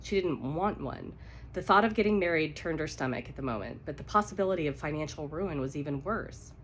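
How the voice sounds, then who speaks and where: A woman's voice: sits low, expressive, brisk, fairly bright tone, slight background noise. A woman with a cold reads romance fiction aloud in a casual, unedited way. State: congested with a cold. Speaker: live reader of romance novels. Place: at home by a fire.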